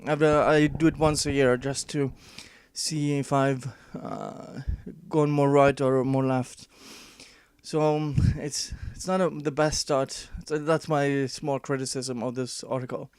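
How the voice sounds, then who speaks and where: A man's voice: medium pitch, little pitch movement, measured pace, a little mellow, smooth, no room sound. A man whose first language is not English, giving casual commentary and reading an article aloud, with many fillers and restarts and dry sarcastic asides.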